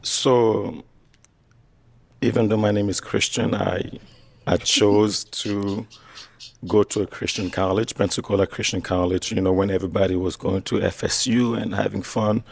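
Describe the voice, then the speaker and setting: Young man, Chadian French accent, low gravelly husky voice, dry sound, halting delivery. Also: steady tone, no echo. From Chad. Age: young adult. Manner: hesitant, restarting sentences. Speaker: contestant on a dating show. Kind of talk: casual chat.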